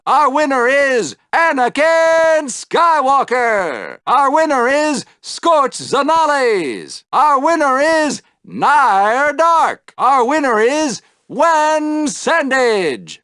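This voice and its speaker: An excitable sci-fi race announcer from a video game, calls the action in a high voice. His delivery swings dramatically up and down, unhurried and theatrical, stretching out names for emphasis. The sound is close and dry. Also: dry recording, exaggerated voice. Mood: triumphant. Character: excitable male podracing announcer calling races. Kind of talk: sports commentary.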